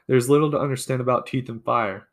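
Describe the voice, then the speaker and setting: A man's voice: mid-range voice, fast, lightly acted. A man voicing an arrogant young male dragon who speaks curtly and boastfully. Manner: arrogant, curt.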